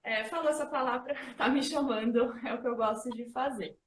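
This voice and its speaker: A woman's voice: a little high, fairly quick, roomy sound, slight background noise. A woman in her thirties with a São Paulo accent, hosting a casual talk and presenting herself with many fillers. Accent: São Paulo Portuguese. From São Paulo, Brazil. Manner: chatty, many fillers like "né" and "então". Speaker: host and facilitator.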